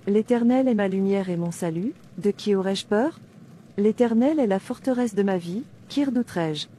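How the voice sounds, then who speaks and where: A woman playing a devout, fearless captive in an animated drama, reciting scripture in a declamatory way. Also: mid-range voice, normal speed, slight background noise, natural voice. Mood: defiant, devout. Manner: declamatory, reciting verses with references.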